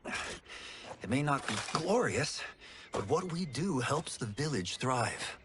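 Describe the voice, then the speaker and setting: A man playing a contented, level-headed young farmer in a video game, calm, reasonable and gently teasing. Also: medium pitch, slow. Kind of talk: naturalistic video game acting. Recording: clear background noise.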